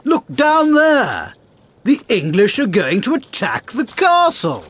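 A man playing an alert, principled gentleman musketeer in a dramatised storybook, with an emphatic, expressive delivery. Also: very high-pitched, takes it slowly, slightly rough, exaggerated voice. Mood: urgent.

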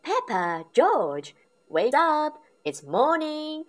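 A woman reading a storybook aloud and voicing a gentle, cheerful mother pig in a soft, raised voice. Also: on the high side, very animated, takes it slowly, pitched above the performer's own voice, exaggerated voice. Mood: bright.

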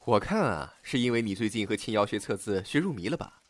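A man's voice: lowish voice, animated, fast talker, no echo, close to an everyday voice. A man acting as a nagging, critical office colleague in a radio suspense drama.

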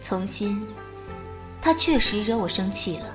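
A mid-pitched young woman's voice from an actress, with faint music behind it. She is composed and authoritative, an audio drama heroine who speaks in calm, brief, measured sentences. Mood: cool, resolute. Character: composed, authoritative young mother and senior sister. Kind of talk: modern audio drama acting.

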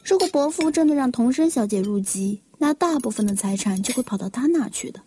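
A woman's voice: on the high side, lively intonation, brisk, warm tone, husky. A woman playing a calculating young niece eyeing an inheritance in an audio drama. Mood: sly. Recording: faint noise, tableware clinks.

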